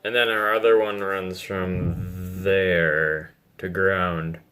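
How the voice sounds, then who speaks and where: A man with a fairly low but bright, forward voice talks very slowly. He thinks aloud in halting phrases, giving casual, unscripted commentary like a hobbyist at a repair bench. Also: little pitch movement, smooth, soft background music. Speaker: hobbyist repairing a vintage radio on video.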